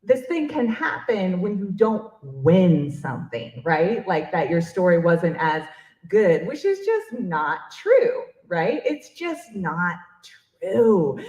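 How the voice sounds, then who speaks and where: A woman's voice: mid-range voice, a little mellow, highs cut off. A woman hosting a live event off the cuff, speaking in an effusive, encouraging way with emphatic phrases. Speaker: emcee of an awards event. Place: public library event room, in front of an audience. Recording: echoey live event room.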